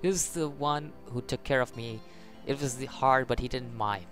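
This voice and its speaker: Casting: male gaming host, mid-pitched, casual and jokey, narrating a playthrough over faint music. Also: normal speed, fairly bright tone. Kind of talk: casual playthrough commentary mixed with reading game text aloud. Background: video game music.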